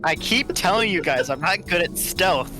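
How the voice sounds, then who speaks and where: A man's voice: sits high, animated, moderate pace, over music. A man playing a tabletop game, joking about his own character. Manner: self-deprecating, joking. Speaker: player. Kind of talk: casual tabletop play.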